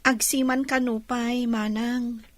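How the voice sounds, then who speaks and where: A woman's voice: fairly high pitch, level tone, slow pace, dry recording. An actress playing a patient, devoted young Filipina village woman in a radio drama, with an Ilocano accent. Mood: wistful, resigned.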